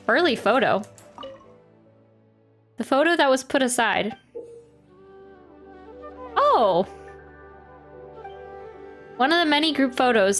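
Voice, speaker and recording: A woman's voice: fairly high pitch, fairly bright tone. A woman game streamer chatting casually and reacting on a livestream.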